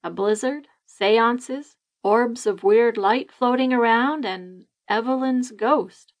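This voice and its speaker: A woman's voice: on the high side, takes it slowly. A woman voicing a blunt, pushy newspaper woman. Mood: wry. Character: nosy, brash newspaper woman.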